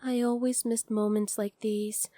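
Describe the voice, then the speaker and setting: A woman plays an ageless female fox spirit with a high, mellow voice, clinging and devoted to her master. She speaks tenderly and very intimately to one listener, in the close, dry sound of an ASMR roleplay. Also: flat delivery, a little slow, no room sound, natural voice. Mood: tender, wistful. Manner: soft, tender, intimate, addressing the listener directly.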